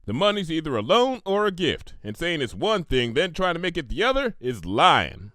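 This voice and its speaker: A man's voice: fairly high pitch, sing-song, normal speed, a bit bright, dry recording, clean studio sound, pitched above the performer's own voice. Man voicing a proud Southern farm-girl cartoon pony. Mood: defiant. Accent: Southern US country. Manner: folksy, drawling, emphatic. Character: proud, stubborn female country farm pony.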